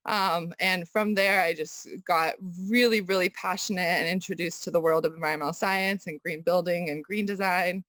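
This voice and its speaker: A young woman from Kazakhstan, raised in the US, answering interview questions thoughtfully with many pauses and restarts. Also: fairly low pitch, steady tone, a bit bright, no echo. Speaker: guest artist. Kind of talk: casual interview conversation.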